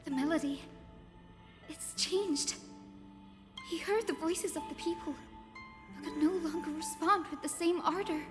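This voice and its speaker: A woman acting a gentle, wistful young heroine in a fantasy game, speaking lyrically in long reverb over music. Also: very high voice, measured pace, long pauses. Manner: lyrical, storytelling. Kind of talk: dramatic fantasy game dialogue.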